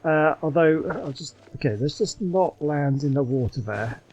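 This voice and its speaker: A man's voice: fairly high pitch, lively intonation, moderate pace, darkish tone, a little hiss or hum, low-quality recording. A man giving casual, exclamatory gameplay commentary as a chatty, self-amused streamer. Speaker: gaming streamer. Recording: game music behind.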